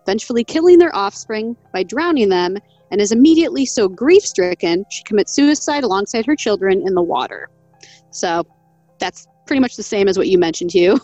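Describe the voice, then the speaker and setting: A woman's voice: mid-range voice, expressive, fairly quick, dark tone, no room sound, highs cut off, soft background music. A woman co-hosting a podcast, reading from an article in a casual, chatty way, laughing often and stumbling over names. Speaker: podcast co-host.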